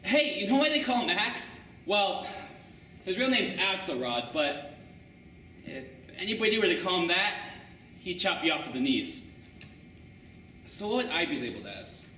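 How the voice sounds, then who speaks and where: Bright-voiced teen boy reading from afar. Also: sits high, slight background noise. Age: late teens. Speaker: student performer. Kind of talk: humorous oral interpretation. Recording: echoey room, far camera.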